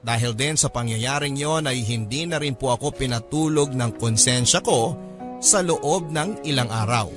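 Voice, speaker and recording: A man's voice: medium pitch, normal speed. Man narrating radio love story over music. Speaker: radio storyteller reading a letter. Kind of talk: first-person dramatic narration in classic Filipino radio style.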